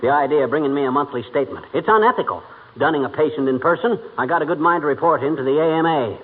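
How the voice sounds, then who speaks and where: A man playing a blustering, wisecracking middle-aged husband in 1940s radio comedy, folksy and quick to take offence. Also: on the high side, close to an everyday voice. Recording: tinny, noisy vintage radio recording with clicks.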